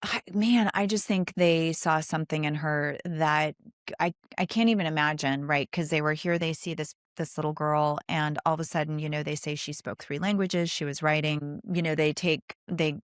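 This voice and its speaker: A woman's voice: low voice, fairly even intonation, moderate pace, smooth, no room sound, studio quality. A woman hosting a conversational interview, speaking in an emphatic, enthusiastic way. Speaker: podcast host interviewing an author.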